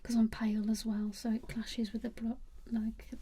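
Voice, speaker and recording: A woman speaking in soft, whispery ASMR style, giving brief, hesitant, agreeable replies as a client. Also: fairly high pitch, completely flat delivery, moderate pace, slightly rough. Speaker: client in a colour analysis.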